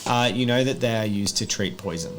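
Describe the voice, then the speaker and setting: Low-voiced man running a tabletop game. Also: brisk, heavy background noise. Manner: improvised, conversational rules explanations mixed with scene narration. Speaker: game master. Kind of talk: narrating a tabletop roleplaying game, casual. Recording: loud music behind the voice. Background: music.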